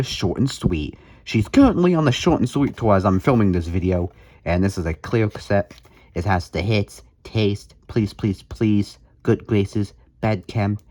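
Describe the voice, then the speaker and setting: A man's voice: lowish voice, darkish tone, no room sound. A man hosting a music collection video, talking casually through his items in a playful, jokey way with mock emphasis. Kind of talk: casual show-and-tell vlog commentary.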